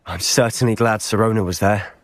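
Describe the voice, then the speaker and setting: An actor playing a friendly, inquisitive teenage wizard in a fantasy game. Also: male voice, fairly low pitch, steady tone, fairly quick, no room sound, natural voice. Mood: curious, concerned.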